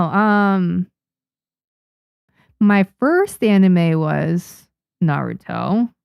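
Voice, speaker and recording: A woman's voice: mid-range voice, creaky, a little mellow, no echo, studio quality. A young woman from Japan, a native Japanese speaker, chatting casually with viewers on a livestream, with frequent restarts and small laughs. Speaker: manga artist streamer. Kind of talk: casual livestream chat reading viewer comments.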